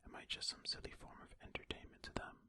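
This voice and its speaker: An ASMR host whispering ear to ear in a tentative, musing way, reading and commenting close to the microphone. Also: fast talker. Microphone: close stereo ASMR microphone.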